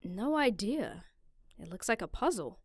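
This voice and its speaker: A woman playing a calm, teasing young woman who explains things in a measured way, in a visual novel fan dub. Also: mid-range voice, background noise. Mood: resigned. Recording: music behind the voice.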